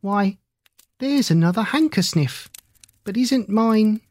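A woman's voice: medium pitch, animated, takes it slowly, darkish tone, no room sound, studio quality, pitched above the performer's own voice. A woman voicing a curious, polite little girl who keeps asking questions.

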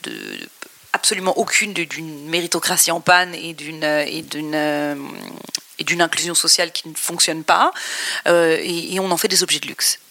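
A woman from France answering interview questions in a bright, lower-pitched voice. She talks thoughtfully, pauses often and restarts her sentences as she works out her ideas, and the tone stays conversational throughout. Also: expressive. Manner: thoughtful, halting with many restarts. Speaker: guest.

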